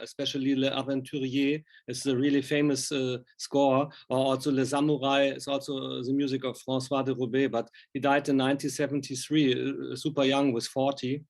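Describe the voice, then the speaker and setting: A man's voice: medium pitch, flat delivery, moderate pace, hardly any pauses, a little mellow. A man speaking non-native English, interviewing informally with rambling, enthusiastic fan talk. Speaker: interviewer, film and music journalist.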